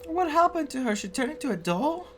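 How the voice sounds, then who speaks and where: A man's voice: extremely high pitch, sing-song, brisk, soft background music. A man giving casual, reflective reaction commentary as a game streamer, earnest and emphatic.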